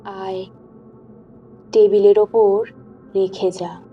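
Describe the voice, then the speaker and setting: A woman's voice: medium pitch, flat delivery, very slow, mellow, distant mic, dull audio, soft background music, pitched below the performer's own voice. A woman voicing an unseen morgue spirit, eerie and commanding, with a heavy voice and curt orders.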